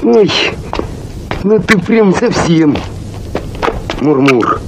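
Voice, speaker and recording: An actor playing a grumpy, blustering peasant husband in an animated folk tale, drawling and exclaiming as he grumbles. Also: on the high side, extremely slow, heavy background noise, full character voice. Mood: puzzled. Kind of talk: animated cartoon acting. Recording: old cartoon soundtrack with loud music.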